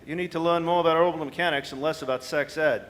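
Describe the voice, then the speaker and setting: A man playing a brisk, worldly senior asteroid supervisor in a radio drama, bossy, wry and gruffly friendly. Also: a little high, steady tone, a bit bright, slight background noise, close to an everyday voice. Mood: explaining, wry.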